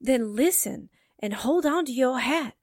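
A woman's voice: sits high, animated, a little slow, no echo, clean studio sound. A woman playing a plucky, suspicious young newspaper secretary in a theatrical 1940s radio drama style. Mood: sure, conspiratorial.

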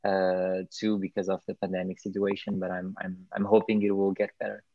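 A man from Portugal whose first language is Portuguese talks as a guest singer in a halting way, often repeating words. His voice is on the low side. The sound is dull and lo-fi, with no room echo. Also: monotone.

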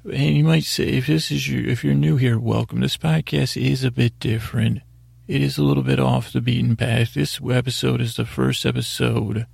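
A man hosting a bedtime podcast with a gravelly, husky voice, rambling and halting in a soothing, unhurried, self-deprecating way. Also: mid-range voice, darkish tone, no room sound. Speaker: podcast host. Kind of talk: meandering bedtime podcast monologue.

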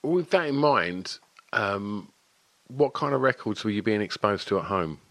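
Deep-voiced hesitant male host. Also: lively intonation, unhurried, a bit bright, no echo. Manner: hesitant, stumbling and repeating words. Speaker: interview host. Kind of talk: casual interview chat.

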